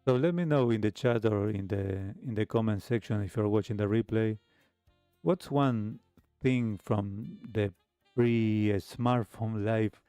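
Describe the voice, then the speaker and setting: A man hosting a retro radio talk show, speaking in a reflective, halting monologue to his listeners. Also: on the low side, mellow, smooth, no echo. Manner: hesitant, halting phrasing with pauses. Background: background music.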